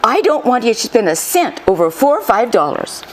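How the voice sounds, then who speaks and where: A woman's voice: a little high, very animated, fairly quick. A woman playing a sweet but pushy teenage girlfriend, coy and theatrical, in 1940s radio comedy style. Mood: insistent, demanding. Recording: live acting with clear background noise.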